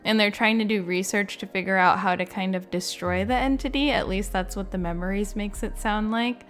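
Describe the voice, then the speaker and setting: A woman's voice: mid-range voice, fairly even intonation, a little fast, a bit bright. A woman giving casual, unscripted gaming commentary in a chatty, informal way, peppered with "like" and "you know.". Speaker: gaming video creator and commentator.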